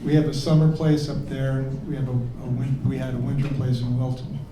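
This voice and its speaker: An older man from New England, talking haltingly and restarting as an interview guest over a call. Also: medium pitch, flat delivery, warm tone. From New England, United States. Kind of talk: casual remote interview. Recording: roomy, distant, faint hiss.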